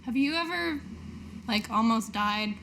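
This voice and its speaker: A dutiful, naive adventurer from a fantasy game, voiced by a woman, speaks hesitantly in a slightly high voice. She is understated and unsure of the dangerous life. The recording has clear background noise. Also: animated, measured pace, bright, forceful tone, exaggerated voice. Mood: shaken, dry.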